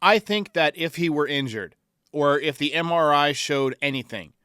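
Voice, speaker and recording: A man's voice: mid-range voice, bright, forceful tone, no room sound, studio quality. An American man hosting a sports talk show, giving commentary in response to fan calls.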